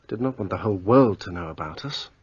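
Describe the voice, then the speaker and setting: A man's voice: sits low, expressive, quick pace, a little mellow, husky, no echo, exaggerated voice. An actor playing a guarded, defensive young man in a radio play. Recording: dull lo-fi sound.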